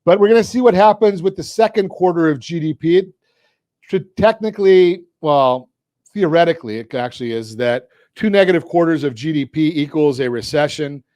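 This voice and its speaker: A man's voice: on the high side, brisk, a little mellow, no echo. A man hosting a finance talk radio show, speaking with energy and cracking jokes as he asks his guests leading questions. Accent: Southern Californian. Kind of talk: talk radio with a sponsor read. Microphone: studio mic.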